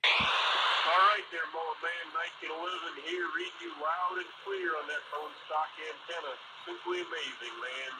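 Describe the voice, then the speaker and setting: Heavy static surrounds a man's slightly high voice coming over a CB radio. He chats casually like an operator giving signal reports to a buddy. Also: steady tone, normal speed. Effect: CB radio transmission. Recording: very noisy, static-laden CB radio reception.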